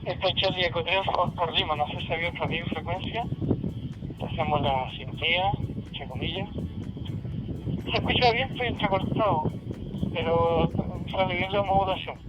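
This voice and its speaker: A man's voice: sits high, moderate pace, heavy background noise. A man chatting casually as an amateur radio operator, heard through a digital two-way radio. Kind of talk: casual ham radio exchange. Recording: muffled radio transmission under loud music.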